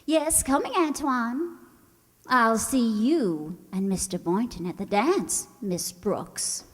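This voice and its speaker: Slow, haughty, scheming woman rival, acted out. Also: fairly high pitch, lively intonation, in a large hall. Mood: breezy. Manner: sly, condescending, falsely sweet. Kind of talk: old-time radio comedy acting.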